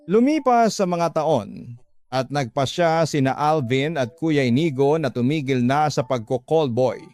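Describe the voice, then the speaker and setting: A man's voice: a little high, a little mellow, no echo. A man with a Filipino accent telling a first-person story as the narrator of a radio drama.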